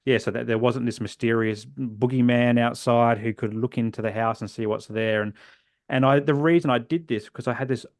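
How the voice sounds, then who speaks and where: Aussie guy in his 40s, gravelly, dry close mic, earnest, lets phrases trail off soft. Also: medium pitch, steady tone, normal speed, dry recording. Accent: Australian. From South Australia. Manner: earnest, reflective, trails off softly at phrase ends. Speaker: guest. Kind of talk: personal storytelling in a podcast interview.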